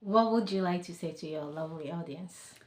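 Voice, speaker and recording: A woman hosting a podcast interview, asking questions in a warm, encouraging way. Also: on the low side, fairly quick, echoey room. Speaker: podcast host. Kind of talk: casual interview.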